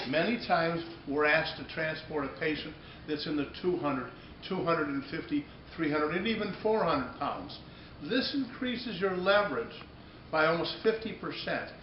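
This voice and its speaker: Halting salesman in an echoey room. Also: on the high side. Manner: halting, repeats and rephrases phrases. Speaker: company presenter demonstrating a product. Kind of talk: unscripted product demonstration pitch. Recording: echoey room, dull muffled audio, noticeable background noise.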